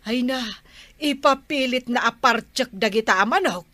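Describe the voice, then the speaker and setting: A woman's voice: on the high side, normal speed, bright, forceful tone, no echo. A woman with an Ilocano Filipino accent playing a plaintive, protesting village wife in a comedy skit. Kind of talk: amateur comedy skit acting.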